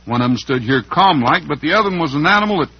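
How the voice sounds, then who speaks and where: A muffled vintage radio broadcast carries a steady, fair-minded cowboy hero. His voice sits a little high and slightly husky. He reasons things out in classic western drama style. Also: fast talker. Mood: determined, reasoning. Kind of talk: old-time western radio drama acting.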